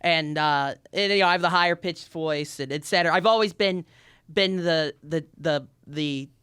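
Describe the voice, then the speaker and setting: A man's voice: fairly high pitch, normal speed, bright, forceful tone, smooth, no room sound. A young man, a podcast guest in a university studio, chatting in an upbeat, outgoing way with frequent restarts. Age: young adult. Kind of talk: casual interview chat.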